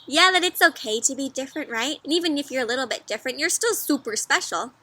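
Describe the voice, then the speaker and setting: A woman with a high, bright voice hosts a storybook read-aloud for young children. She speaks expressively, with animated emphasis and wondering questions. Her delivery is encouraging, like a teacher guiding small listeners through a book. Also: fast talker.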